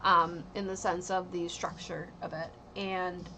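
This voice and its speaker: Bright-voiced woman rambling through a tarot reading. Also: lowish voice, little pitch movement, measured pace, slight background noise, rough recording. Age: 30s. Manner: rambling, full of ums and likes. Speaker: tarot reader and streamer. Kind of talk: casual livestream tarot reading.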